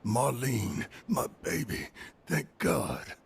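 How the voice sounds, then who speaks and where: An actor playing a gruff, devoted father in a video game cutscene, gravelly and halting with emotion. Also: high voice, huge pitch swings, slight background noise, exaggerated voice. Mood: relieved, tender. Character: gruff, frantic, devoted father of a little girl.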